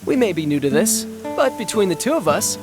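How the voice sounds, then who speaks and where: Gentle, earnest young village healer. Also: male voice, sits high, big pitch swings, brisk. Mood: warm, friendly. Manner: polite, earnest, well-spoken. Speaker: game voice actor. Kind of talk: video game cutscene acting. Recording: background music under the voice.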